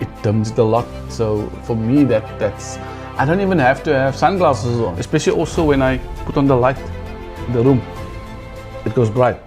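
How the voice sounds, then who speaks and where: Casting: man, late fifties, middle-pitched, sincere customer testimonial, unpolished and halting. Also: a little mellow. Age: 50s. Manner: earnest, plain-spoken, halting with repeated words. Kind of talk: testimonial interview.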